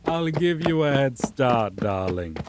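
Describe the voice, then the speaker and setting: Man acting a very slow, theatrical ancient vampire. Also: mid-range voice. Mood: playful, menacing. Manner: slow, theatrical, breathy, drawn-out phrasing with whispers. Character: ancient male vampire, menacing, possessive, theatrically courteous, predatory. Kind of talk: ASMR roleplay monologue. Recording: steady rain and thunder noise behind.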